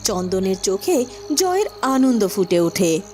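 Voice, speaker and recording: A woman's voice: medium pitch, big pitch swings, a little slow, warm tone, soft background music. A woman narrating a scripted fairy-tale cartoon story.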